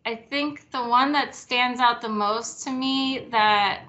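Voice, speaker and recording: A woman's voice: a little high, slow, bright, forceful tone. A woman hosting an interview, speaking in a heartfelt, sincere way. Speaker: program host. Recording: dull lo-fi audio.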